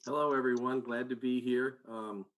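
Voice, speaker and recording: Older retired coach guy, relaxed, mid pitch, trips over a word now and then. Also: moderate pace, rough recording. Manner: relaxed, stumbles on repeats. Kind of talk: casual self-introduction.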